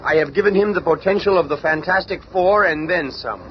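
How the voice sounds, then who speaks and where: A man's voice: fairly high pitch, brisk, close to an everyday voice. A man playing a proud alien wizard, formal and fawning, presenting like a grand showman in a radio drama. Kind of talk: superhero radio drama acting. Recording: noisy muffled radio drama.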